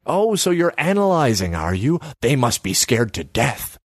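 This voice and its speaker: A man's voice: mid-range voice, very animated, moderate pace, dry recording, studio quality, pitched above the performer's own voice. A man voicing a cocky, slangy, sneering male street gangster in a story reading. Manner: taunting, slangy.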